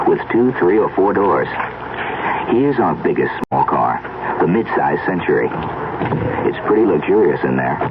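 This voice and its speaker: An American man reading a 1970s radio car commercial in a conversational, folksy, explanatory way. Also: low voice. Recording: dull, very noisy old radio recording.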